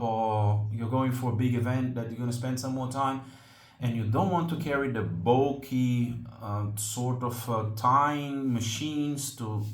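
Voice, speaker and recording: A man with a Brazilian Portuguese accent talks casually in English at a middle pitch, often pausing on ums and restarting his sentences. His voice rings with the echo of a hard room. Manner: halting, many ums and restarts. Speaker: slingshot channel host. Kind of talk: casual tutorial explanation.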